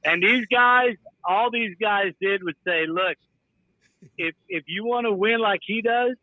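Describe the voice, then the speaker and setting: Guest, male, upbeat raconteur, slightly high voice, tinny phone-like sound. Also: measured pace, dry recording. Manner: animated, quotes people in his stories. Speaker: guest musician. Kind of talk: casual storytelling.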